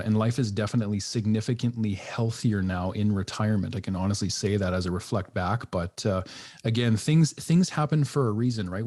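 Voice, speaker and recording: A middle-aged man from northern Alberta, a retired police officer, talking calmly and reflectively as a podcast guest. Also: on the low side. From northern Alberta, Canada. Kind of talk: casual podcast chat.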